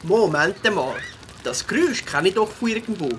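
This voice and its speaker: A woman's voice: mid-range voice, lively intonation, moderate pace, a little hiss or hum, lightly acted. A woman voicing a cheerful, friendly schoolboy beaver in Swiss German for a children's audio play. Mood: excited.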